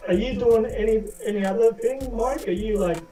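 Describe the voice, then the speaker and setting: Roomy, mellow middle-aged man. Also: sits high, a little fast. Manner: chummy, slangy. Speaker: caller in a group voice chat. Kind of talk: casual chat. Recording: distant, roomy voice chat with music.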